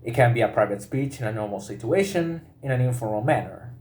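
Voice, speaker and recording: A man with a medium-pitched voice reads a prepared educational text aloud. His delivery is halting, and he often stops partway into a word and says it again. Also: expressive, darkish tone.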